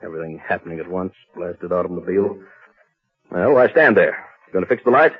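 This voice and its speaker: A man's voice: low voice, expressive, fairly quick, husky, dry recording. An actor playing a pompous, cowardly, bad-tempered rich man, blustering and sputtering in old radio horror style. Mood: irate. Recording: muffled, narrow-band old radio recording.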